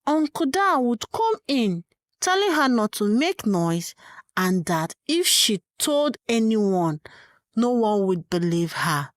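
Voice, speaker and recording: Slow, expressive woman storyteller. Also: on the high side, no echo, studio quality. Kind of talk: scripted story narration.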